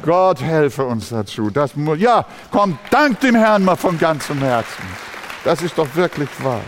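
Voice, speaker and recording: German pastor preaching, fairly high voice, long hall echo, gets fired up. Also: male voice, big pitch swings, measured pace, some chatter behind, slight background noise. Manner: impassioned preaching, acts out complaining voices. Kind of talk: sermon.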